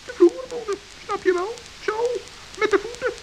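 A very high, squeaky little gnome chatters in a children's radio play. The voice crackles with creak and has a kind, childlike eagerness. A faint hiss of an old recording lies over it. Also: male voice, normal speed. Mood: sheepish. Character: small, cheerful male forest gnome, kind and playful.

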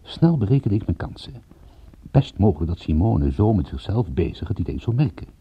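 An actor playing a wry private detective who narrates his own case in a husky, gravelly, sardonic voice for a radio drama. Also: on the low side, very animated, a little fast, exaggerated voice. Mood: wry, confiding. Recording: dull radio drama recording.

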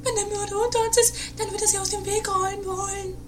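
A woman's voice: very high voice, level tone, fast. A woman playing a vain, self-important film diva in an amateur comedy radio play, melodramatic and fearful for herself. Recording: faintly noisy 1980s amateur radio recording.

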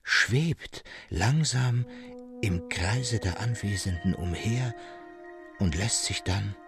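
A man's voice: lowish voice, expressive, slow, creaky, music in the background. A man narrating a gothic literary tale in a solemn, suspenseful and theatrical manner.

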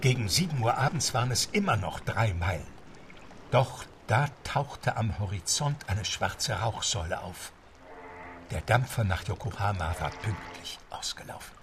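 A man narrating a radio drama in a measured storytelling manner. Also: on the low side, animated, recorded from a distance, noisy background. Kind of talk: scripted radio-play narration. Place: studio. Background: music.

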